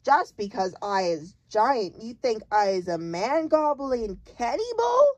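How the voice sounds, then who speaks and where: A woman voicing a huge, jolly male giant who booms his lines and mangles his grammar with made-up words. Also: lively intonation, takes it slowly, a little mellow, no echo. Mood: booming.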